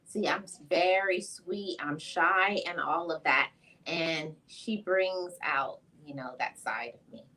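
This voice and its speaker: A woman's voice: on the low side, slow, pushed and bright, low-quality recording. A woman from Jamaica hosting her vlog, chatting playfully and defending herself with a laugh. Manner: playful, insists she is sweet and shy. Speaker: vlogger host. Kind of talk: casual chat.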